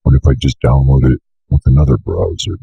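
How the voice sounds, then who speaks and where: A young man giving a casual, unscripted software walkthrough, offhandedly muttering to himself as he works, with a gravelly, raspy voice. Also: very deep voice, flat delivery, a little fast, no room sound, dull audio. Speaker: tutorial host.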